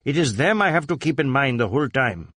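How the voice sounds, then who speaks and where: A man's voice: mid-range voice, sing-song, a little fast, fairly bright tone, dry recording, studio quality. A man voicing a stern, brusque police superintendent who speaks emphatically and repeats himself for weight. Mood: grave.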